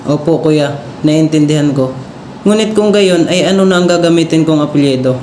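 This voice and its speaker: A man's voice: fairly high pitch, moderate pace, darkish tone, close to an everyday voice. A man playing a brave, curious and respectful boy in an amateur Filipino radio drama. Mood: respectful, puzzled. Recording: roomy sound with faint noise.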